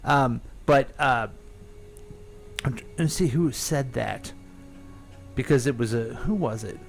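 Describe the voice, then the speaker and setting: A man chats on a radio show in a middle-range voice, wandering through a story with plenty of "uh"s and false starts. He thinks out loud as he goes. Soft music runs quietly underneath. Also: medium pitch, unhurried, vocal fry, low-quality recording. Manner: rambling storyteller, thinks aloud with fillers. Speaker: radio host. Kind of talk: casual radio talk. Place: radio studio.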